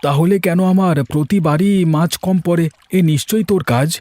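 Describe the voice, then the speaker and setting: A man's voice: a little high, a little fast, mellow, dry recording, close to an everyday voice. A man playing a suspicious, argumentative village fisherman in a cartoon fairy tale. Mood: indignant. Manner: accusing, argumentative. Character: aggrieved village fishermen quarrelling, suspicious and defensive men.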